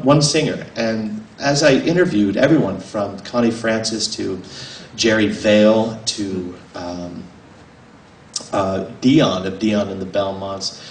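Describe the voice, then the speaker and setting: Halting man talking in a roomy library. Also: fairly low pitch, creaky, slight background noise. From United States. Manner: rambling and halting, many fillers and restarts. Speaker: author. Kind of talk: informal talk to a small audience. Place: library room, indoors. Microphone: table mic on a stand, filmed from a distance. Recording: roomy, mic at a distance.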